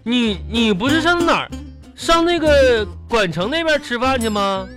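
Animated henpecked husband with a Northeastern Chinese accent. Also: male voice, very high voice, normal speed, music in the background. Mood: wary, protesting. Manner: stammering, sheepish, wheedling, folksy. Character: hapless, henpecked husband making sheepish excuses. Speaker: radio comedy host. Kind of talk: comic radio drama dialogue.

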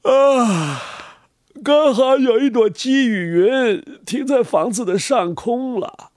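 A man's voice: very high voice, expressive, takes it slowly, dry recording, studio quality, heavily acted. A man voicing a sleepy, unbothered house owner who answers offhandedly in a children's story reading. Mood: offhand. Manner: yawning, offhand replies.

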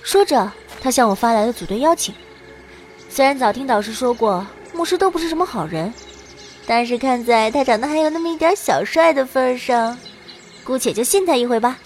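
High-voiced, perky young game heroine. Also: female voice, animated, fairly quick, a little mellow, soft background music. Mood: wry narrating. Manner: perky, theatrical, dramatic. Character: cute, cheeky, broke teenage girl game heroine. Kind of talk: audio drama with first-person narration.

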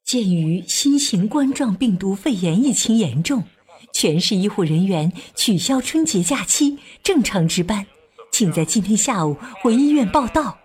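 A woman playing a gentle, dutiful doctor mother with a soothing, earnest manner in a children's radio drama. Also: medium pitch, lively intonation, a little slow, breathy edge. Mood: reading message.

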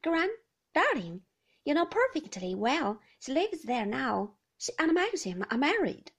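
A woman's voice: high-pitched, sing-song, a little slow, voice pushed up, full character voice. A woman voicing an upper-class sister-in-law who speaks in gushing, emphatic exclamations. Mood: dismayed.

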